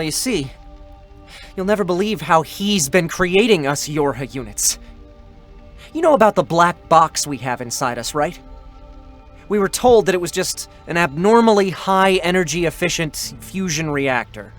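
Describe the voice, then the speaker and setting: An actor playing a boyish male android with a mocking, bitter edge in a dramatic game story reading. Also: on the high side.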